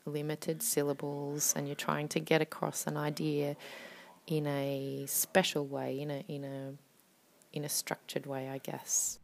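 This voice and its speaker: A woman poet speaks casually as a podcast guest, pausing on ums and letting her words trail off softly. Her voice is very low with a gravelly fry in it. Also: completely flat delivery. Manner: hesitant, many ums, soft trailing-off words. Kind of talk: casual interview talk.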